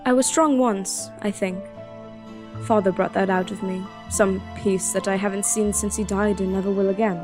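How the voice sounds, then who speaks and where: A woman's voice: mid-range voice, steady tone, moderate pace, lightly acted. Woman playing an earnest, devoted young princess over music. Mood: wistful, grieving. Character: devoted, strong-willed young princess, grieving for her father. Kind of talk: audio drama acting with first-person narration.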